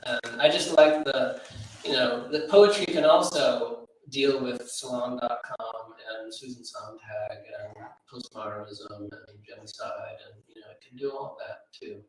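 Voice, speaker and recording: Heard from a distance in a heavily echoing room, a man comments on literature off the cuff. His mid-pitched voice halts and circles as he searches for the right words. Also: fairly even intonation, a little hiss or hum. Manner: hesitant, halting, searching for words. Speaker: literary event speaker. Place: reading room at a live literary event. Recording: very echoey room, distant microphone.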